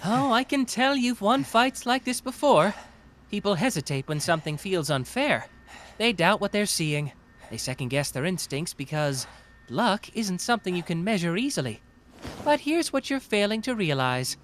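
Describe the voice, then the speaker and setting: A smug young male detective from an anime audio drama, acted out by a performer, swinging widely in pitch as he picks things apart. He is theatrical, playful and taunting, with some background ambience behind him. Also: sing-song, normal speed, fairly bright tone, slight background noise. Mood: confident taunting. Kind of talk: anime roleplay audio drama, deduction monologue.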